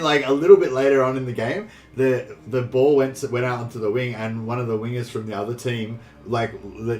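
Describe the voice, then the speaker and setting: An Australian man chatting casually on a live game stream, rambling and halting, with some swearing. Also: mid-range voice. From New South Wales, Australia. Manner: rambling, halting, foul-mouthed. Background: faint game music.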